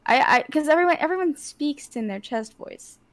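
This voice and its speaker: A woman chatting on a live stream through a close personal microphone, halting and restarting her sentences. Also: sits high, lively intonation. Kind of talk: casual chat.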